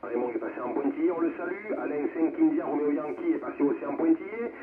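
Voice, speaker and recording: Un homme qui anime un réseau radioamateur de façon décontractée et courtoise, en cherchant parfois ses mots. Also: male voice, a little high, lively intonation, fast. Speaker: amateur radio net controller. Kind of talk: casual amateur radio net hosting. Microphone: heard through a radio receiver speaker. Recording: narrowband radio with noise and reverberation.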